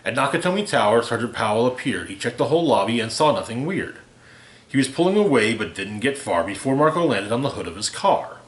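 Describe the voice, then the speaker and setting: A man reading a rhyming holiday parody aloud as a storyteller, with theatrical, deliberate delivery. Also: mid-range voice, sing-song. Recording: roomy, echoey home recording.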